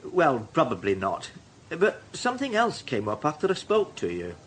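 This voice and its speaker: Sly, high-pitched newspaperman. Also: huge pitch swings, moderate pace, slight background noise. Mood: sly, probing. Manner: insinuating, conversational. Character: probing, sly male newspaper reporter. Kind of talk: radio drama dialogue.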